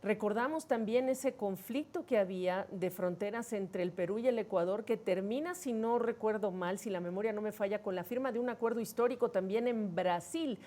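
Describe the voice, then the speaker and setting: A woman's voice: mid-range voice, moderate pace, few pauses, warm tone. A woman news anchor asking a guest questions in a polite, composed way. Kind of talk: live news interview.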